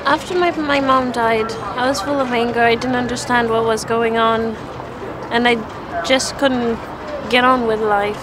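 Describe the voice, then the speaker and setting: A young woman from Zimbabwe giving a personal faith testimony in an earnest, even way, with small restarts. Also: fairly high pitch, a little slow, a crowd in the background, low-quality recording. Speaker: church testimony speaker. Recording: outdoor street noise behind the voice.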